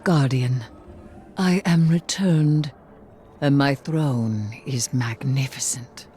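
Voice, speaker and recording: An actress playing a regal, imperious dark sorceress queen in a video game, speaking in a measured, solemn and grandiose manner. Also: rumbling low, slight background noise. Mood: proud, resolved. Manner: measured, formal, grandiose delivery. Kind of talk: dramatic video game cutscene narration. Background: loud cinematic music.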